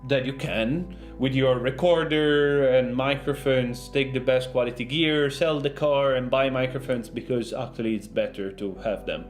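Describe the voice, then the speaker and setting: A man's voice: mid-range voice, steady tone, moderate pace, hardly any pauses. A man who is a non-native English speaker, hosting an informal sound design tutorial in a joking, self-deprecating manner. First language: not English. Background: music.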